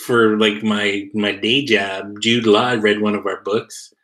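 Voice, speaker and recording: A man with a fairly low voice co-hosts a show on a video call. He talks in loose, casual banter and reads out comments from viewers. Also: level tone, slow, a bit bright. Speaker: show co-host.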